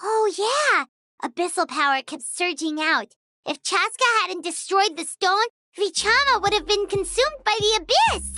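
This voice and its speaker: A woman voicing a tiny, cheerful, childlike fairy companion in a video game, squeaky and eager. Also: lively intonation, a little slow, bright, forceful tone, dry recording, studio quality, exaggerated voice. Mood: excited. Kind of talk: English video game voice acting.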